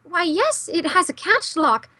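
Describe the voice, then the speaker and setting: High-pitched, fluttery schoolteacher played by a woman. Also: big pitch swings, measured pace, bright, forceful tone, no room sound, exaggerated voice. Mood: prim, nervous.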